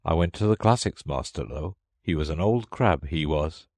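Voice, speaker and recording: A man's voice: a bass voice, lively intonation, normal speed, darkish tone, no room sound, exaggerated voice. Man doing a brusque, scolding storybook Gryphon. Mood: gruffly reminiscing. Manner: blunt, rustic working-class diction. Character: brusque, scolding male Gryphon, rough uneducated beast. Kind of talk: storybook character reading.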